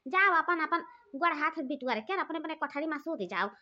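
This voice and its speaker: Woman doing a high-voiced awadhi sister-in-law, bossy and taunting, cartoon, muffled audio. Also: expressive, a little fast. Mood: scolding. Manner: scolding, taunting, loud. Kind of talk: cartoon voice acting. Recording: dull lo-fi recording.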